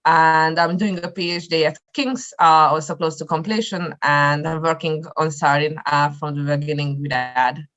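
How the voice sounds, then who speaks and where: A young woman from Hungary introduces herself in a very low voice. She is brief and friendly. The recording is dry and dull and sounds a little distant. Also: fairly even intonation, normal speed, few pauses, a bit bright, dry recording. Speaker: guest, co-founder.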